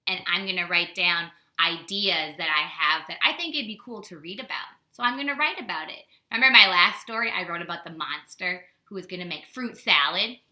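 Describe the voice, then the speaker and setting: Bright, echoey American woman teaching kids. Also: fairly low pitch. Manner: animated, simple wording with rhetorical questions. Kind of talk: casual storytime lesson for young children. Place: indoors at home. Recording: roomy, echoey indoor home recording.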